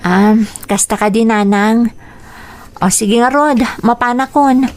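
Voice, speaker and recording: A woman's voice: a little high, slow. A dutiful young married daughter in a radio drama. Her Ilocano-accented delivery is polite and conversational. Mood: polite, considerate.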